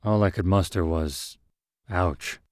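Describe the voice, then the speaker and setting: Low-voiced man narrating a story, dry close mic. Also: dry recording. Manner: measured, even storytelling. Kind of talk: scripted first-person story narration.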